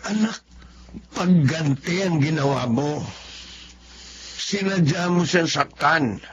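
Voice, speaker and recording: A man's voice: a little high, expressive, slow pace. An actor playing a worried, reproachful elderly Filipino father in a radio drama, admonishing his grown son. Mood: stern reproach. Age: older. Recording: dull lo-fi radio recording, faint noise.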